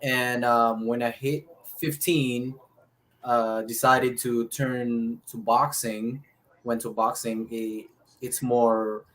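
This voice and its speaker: A man with a Filipino accent answers interview questions in a casual way. He sits at a middling pitch and speaks haltingly, often pausing partway through a thought as he recounts things. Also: level tone, fairly bright tone. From Philippines. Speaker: professional boxer, interview guest.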